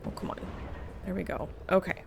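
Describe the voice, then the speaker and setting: A woman's voice: lowish voice, a little fast, gravelly, background noise. A tired, slightly sniffly woman streaming a game, chatting casually, reading chat aloud and laughing often. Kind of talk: casual gaming livestream chat.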